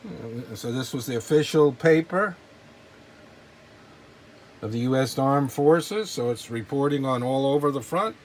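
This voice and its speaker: Casual man's show-and-tell over clear background noise. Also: medium pitch, expressive, a little slow, pauses often, poor audio. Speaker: collector presenting models and memorabilia.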